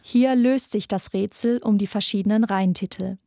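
A woman narrates a scripted documentary as its host. Her voice sits at a medium pitch, recorded close and dry. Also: normal speed, gravelly, mellow, no echo.

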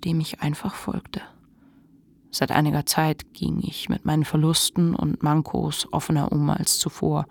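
An actor playing a reflective, ashamed narrator confiding a memoir in a measured literary radio monologue. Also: medium pitch, creaky, slightly rough, dry recording.

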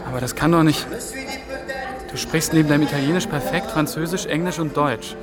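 An actor playing an earnest young intern. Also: male voice, fairly high pitch, fairly quick, over loud music, natural voice. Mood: dismayed. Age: young adult.

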